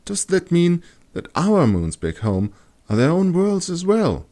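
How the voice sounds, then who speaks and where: Man voicing a high-pitched curious young woman. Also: very animated, unhurried, mellow. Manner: exclaiming, questioning, colloquial. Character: curious young woman traveler, outspoken and easily amazed.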